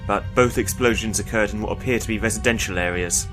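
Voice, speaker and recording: A man's voice: fairly low pitch, fast talker, a bit bright, soft background music, natural voice. Man playing a matter-of-fact bridge officer. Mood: tense, businesslike. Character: dutiful, pragmatic male starship bridge officer. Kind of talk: sci-fi fan audio drama acting.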